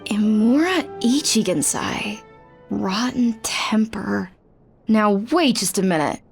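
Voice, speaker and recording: Wry, sardonic young clinic clerk. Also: female voice, medium pitch, expressive, slow pace, gravelly, faint music behind. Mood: wryly amused. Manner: dry, sardonic, emphatic. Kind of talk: video game voice acting.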